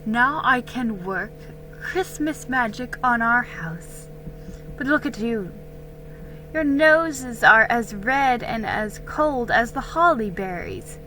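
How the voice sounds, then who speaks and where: A woman voicing a kindly, busy colonial mother in a storybook, warm, exclaiming and gently bossy. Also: sits high, exaggerated voice, rough recording.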